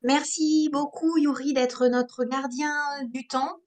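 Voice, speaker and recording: A woman's voice: sits high, steady tone, takes it slowly, a little mellow. A woman hosting an online meeting, speaking in long, wordy sentences and repeating phrases as she searches for words. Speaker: meeting host.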